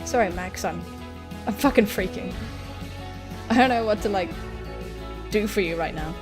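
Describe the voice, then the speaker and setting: Woman voicing an anxious punk young woman, raspy edge. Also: medium pitch, normal speed, music in the background, natural voice. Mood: nervous, earnest. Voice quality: raspy, wispy. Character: anxious, rough-edged punk young woman, fretting over her friend.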